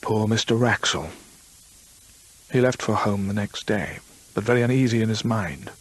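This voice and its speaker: An actor playing a grave gentleman storyteller, narrating a radio drama in measured, literary phrases. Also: on the low side, no echo, natural voice, poor audio. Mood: grave, pitying. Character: measured gentleman storyteller recounting another man's ghostly fate.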